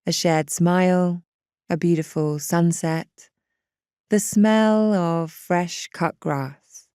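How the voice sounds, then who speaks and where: Slow, mellow woman guiding a meditation. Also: on the low side, fairly even intonation, clean tone, no room sound, studio quality. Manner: gentle, reassuring, unhurried. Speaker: meditation guide. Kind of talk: guided meditation narration.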